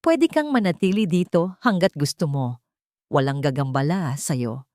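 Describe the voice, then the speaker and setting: A woman narrator voicing a gentle, protective man who speaks softly and reassuringly in an audio drama. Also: deep voice, animated, a little slow, no echo, clean studio sound. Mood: gentle concern. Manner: soft-spoken, reassuring. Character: gentle, protective man offering quiet comfort.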